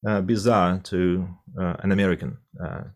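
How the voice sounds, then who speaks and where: Deep-voiced man, thoughtful. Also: fairly even intonation, moderate pace. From Russia. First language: Russian. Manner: thoughtful, many fillers and restarts. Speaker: interpreter. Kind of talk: conversational interview answer.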